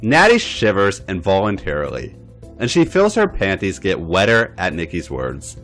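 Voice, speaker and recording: A man narrating a story aloud as a podcast host, with an expressive reading style. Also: deep voice, normal speed, music in the background.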